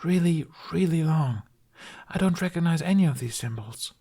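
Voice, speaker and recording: A man doing a high, earnest young boy. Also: animated, moderate pace, mellow, slightly rough. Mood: wistful.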